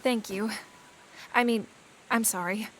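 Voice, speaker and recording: High-voiced, modest young Regency lady. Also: fairly even intonation, takes it slowly, fairly bright tone, a little hiss or hum. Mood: flustered. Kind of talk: period audio drama acting.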